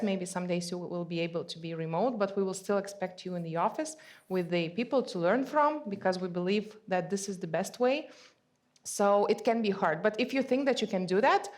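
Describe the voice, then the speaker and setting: Woman, panel host, brisk, practical, office event. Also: mid-range voice. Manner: reads audience questions, brisk and practical. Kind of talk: live Q&A panel.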